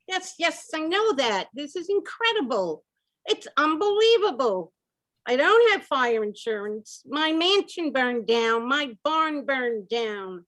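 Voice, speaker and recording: Woman playing a high-pitched, distraught rich mansion owner. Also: big pitch swings, slow pace, a bit bright, full character voice, low-quality recording. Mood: incredulous despair. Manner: dramatic, incredulous, repetitive laments. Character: distraught rich mansion owner, husband, reeling from piling losses. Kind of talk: old-time radio comedy acting.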